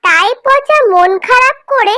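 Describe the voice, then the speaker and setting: A woman's voice: very high-pitched, lively intonation, unhurried, sharp tone, no echo, clean studio sound. A woman narrating a scripted children's cartoon story.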